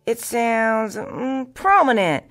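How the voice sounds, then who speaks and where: A woman's voice: a little high, big pitch swings, slow pace, a bit bright, a little hiss or hum, full character voice. A woman playing a practical, insistent wife in a radio drama, firm and coaxing with her husband. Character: pregnant wife, practical, insistent and nagging, affectionately.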